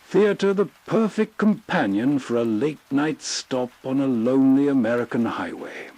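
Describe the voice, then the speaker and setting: A man's voice: medium pitch, animated, normal speed, gravelly, warm tone. A man hosting with scripted, atmospheric narration, setting the scene in a soothing storytelling manner. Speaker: host.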